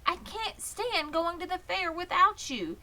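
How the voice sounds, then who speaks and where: A woman's voice: very high voice, lively intonation, measured pace, bright, forceful tone, pitched above the performer's own voice, full character voice. A woman voicing an innocent, eager young male pig in a storybook, earnest and pleading. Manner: earnest, wide-eyed, beseeching. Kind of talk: storybook character reading.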